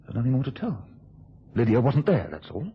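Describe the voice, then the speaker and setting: A man's voice: mid-range voice, very animated, fairly quick, slightly rough, heavily acted. An actor playing a troubled, guilt-burdened man in love, hesitant and intense in an old radio drama. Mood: tense, doubtful. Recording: dull, noisy old radio recording.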